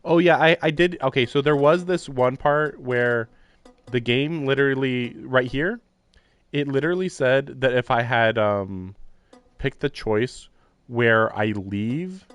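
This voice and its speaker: A man's voice: mid-range voice, measured pace, a bit bright, clean tone, no echo. A man streaming a visual novel, reading lines and thinking aloud with wry, self-deprecating asides. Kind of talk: casual let's-play commentary and reading. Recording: live stream with faint game music.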